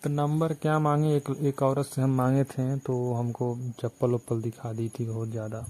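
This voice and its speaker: A man's voice: mid-range voice, level tone, moderate pace, warm tone, close to an everyday voice. A man playing a flirtatious, pleading fruit-cart seller with an eastern Uttar Pradesh accent, coaxing and rambling colloquially as in a phone romance sketch. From Barabanki, Uttar Pradesh, India. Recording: noisy phone-call recording, constant hiss.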